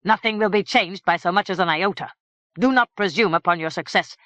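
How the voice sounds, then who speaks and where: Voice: medium pitch, normal speed, sharp tone, dry recording, pitched above the performer's own voice, close to an everyday voice. An audiobook narrator voicing a harsh, menacing middle-aged king who speaks in cold, curt threats.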